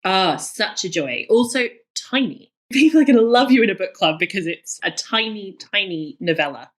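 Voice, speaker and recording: A woman's voice: medium pitch, lively intonation, normal speed. A woman in her thirties speaking as an interview guest, enthusiastic and emphatic, often repeating words for emphasis. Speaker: guest, cookbook author.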